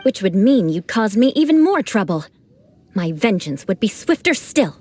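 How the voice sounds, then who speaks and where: A woman's voice: medium pitch, big pitch swings, moderate pace, darkish tone. A woman voicing a proud young aristocratic female knight in a game, speaking formally with dry irony. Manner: formal, haughty, dryly ironic. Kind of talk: game voice acting.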